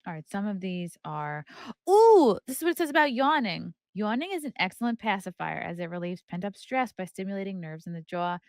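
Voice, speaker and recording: A woman's voice: mid-range voice, very animated, brisk, gravelly, darkish tone, no room sound, clean studio sound. A woman hosting a podcast, chatting casually and reading aloud from a book with playful, irreverent, flippant asides. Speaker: podcast host.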